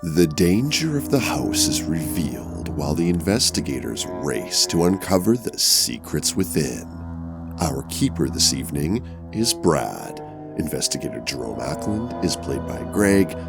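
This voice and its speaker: A man with an extremely low voice that crackles with gravel, speaking at a slow, deliberate pace. He sounds close and dry as he narrates and plays a tabletop horror game. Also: very animated, over music. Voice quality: very deep, gravelly. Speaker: podcast host and tabletop player. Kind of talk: scripted horror intro narration, then casual roleplay.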